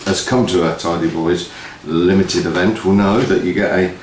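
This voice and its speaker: A man's voice: low voice, fairly even intonation, moderate pace, a little mellow. A man giving a casual announcement update as co-host of a video, recorded in an office. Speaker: host of a music event promoters' video update. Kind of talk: casual two-person announcement chat. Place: indoor office room. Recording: roomy echo, noticeable background noise.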